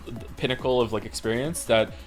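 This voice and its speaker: A man streamer from Wales reading out chat messages in casual talk, with quick wry asides. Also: mid-range voice, soft background music.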